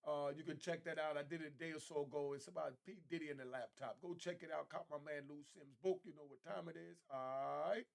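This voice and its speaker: A man's voice: on the high side, steady tone, fast talker, vocal fry, few pauses. An American man hosting a video channel, chatting casually and off the cuff in a laid-back, slangy way. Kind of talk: casual unscripted sign-off chat.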